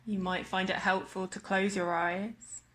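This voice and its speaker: A woman guiding a body scan meditation in a slow, measured manner with long pauses. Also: mid-range voice, flat delivery, a bit bright. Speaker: meditation guide.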